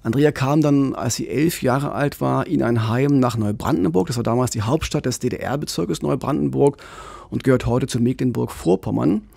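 A man in his forties hosting a true-crime podcast, calmly explaining at a studio microphone. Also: mid-range voice, normal speed, vocal fry, breathy edge, dry recording. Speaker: podcast host. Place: radio studio. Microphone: studio condenser with pop filter.

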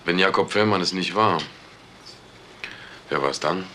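An actor playing a matter-of-fact police detective reporting findings in a crime radio drama. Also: male voice, low voice, measured pace, sharp tone, slight background noise. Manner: factual, questioning.

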